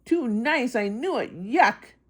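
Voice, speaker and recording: Woman as male grouch monster, grumbling, curt, scornful, lively pitch, children's storybook. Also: slow pace. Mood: disgusted, impatient. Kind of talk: children's picture book read-aloud.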